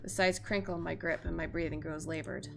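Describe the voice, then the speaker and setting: Expressive woman streamer, creaky voice, reading aloud. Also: low-pitched, brisk, music in the background. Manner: expressive, casual, sweary. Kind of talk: visual novel read-aloud with casual reactions.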